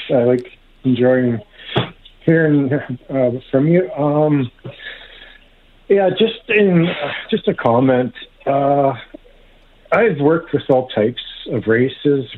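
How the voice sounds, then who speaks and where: A man phoning in to a radio show, rambling hesitantly through his comment with many pauses. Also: mid-range voice, a little hiss or hum. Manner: halting, hesitant, meandering. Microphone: telephone. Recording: thin, band-limited phone line.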